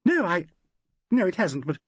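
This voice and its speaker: A man's voice: high-pitched, big pitch swings, moderate pace, no room sound, highs cut off, pitched above the performer's own voice. A man voicing a hysterical young actress who wails and sobs. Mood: tearful. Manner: sobbing, wailing.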